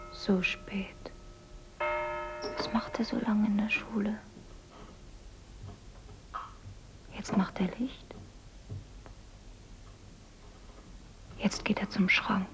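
An actress playing a young woman on a farm, retelling events in a hushed voice and softly musing to herself. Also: mid-range voice, lightly acted, rough recording. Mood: dreamy musing. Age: young adult. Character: clever young woman, the miller's adopted daughter.

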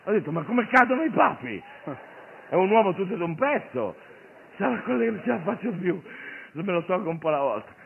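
Male stage comic, higher-pitched, animated satirical monologue with asides. Narrow-band recording with some noise. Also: fairly quick, gravelly. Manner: animated, digressive, addresses audience and partner, mimes as he talks. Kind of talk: theatrical stand-up monologue with a sidekick. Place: theatre stage. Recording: noisy, narrow phone-like radio recording of stage show.

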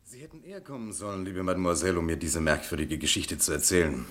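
A man's voice: low voice, fast, fairly bright tone. An actor playing a shrewd, eloquent defence lawyer in a German radio drama, relishing his words theatrically. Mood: shrewd, regretful.

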